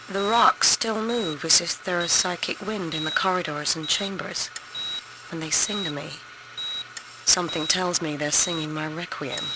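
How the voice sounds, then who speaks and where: Woman playing low-voiced, brooding lab biologist. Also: close to an everyday voice. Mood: haunted, ominous. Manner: measured, clinical, brooding. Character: grave woman biologist dictating lab log, growing haunted. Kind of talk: video game audio log acting. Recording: noisy in-game recorder log.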